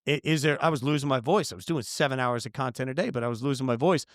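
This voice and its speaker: A man appearing as a guest on a sports podcast, telling animated stories full of fillers. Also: mid-range voice, fast talker, dry recording, studio quality. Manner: animated storyteller, lots of fillers. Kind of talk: casual interview storytelling.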